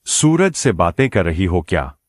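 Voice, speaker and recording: A man's voice: low voice, sing-song, measured pace, dry recording, clean studio sound, full character voice. A man voicing a sly, scheming wolf in a cartoon moral story, oily and mock-friendly in a theatrical way. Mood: falsely friendly. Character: sly scheming male wolf, falsely friendly, muttering plots.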